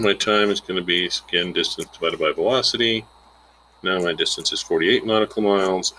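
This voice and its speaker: A man's voice: low voice, a bit bright, a little hiss or hum, rough recording. A man giving an informal, plainspoken lesson, explaining a worked example step by step and thinking aloud as he calculates. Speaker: instructor.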